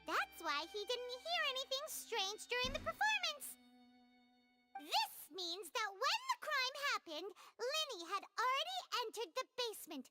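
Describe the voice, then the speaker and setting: A tiny, smug fairy girl from an anime game. Her squeaky, childlike voice sits extremely high and leaps around wildly. She boasts and exclaims, with music playing behind her. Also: very animated, normal speed. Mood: smug, triumphant. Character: small childlike floating fairy girl companion, excitable and smug.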